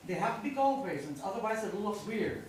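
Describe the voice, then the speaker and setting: An echoey, distant stage recording with faint noise. A woman plays a harried older wife and mother, insistent and exasperated but hopeful, with very lively intonation. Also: mid-range voice, brisk, lightly acted. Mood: busy, explaining. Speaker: actor in a staged reading. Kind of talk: live stage play reading. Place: indoor live staged reading.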